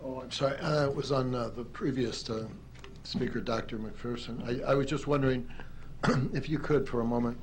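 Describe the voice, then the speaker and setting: Halting man giving a welcome. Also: mid-range voice, steady tone, slight background noise. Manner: halting, hesitant, many fillers. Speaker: county commissioner. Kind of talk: welcoming remarks at a public meeting. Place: county legislative chambers.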